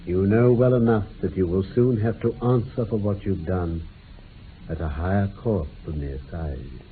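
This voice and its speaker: An actor playing a shrewd, composed gentleman detective in a radio drama, speaking in a measured, formal, probing way. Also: sits low, natural voice. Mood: grave. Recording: muffled phone-like old radio recording, hiss.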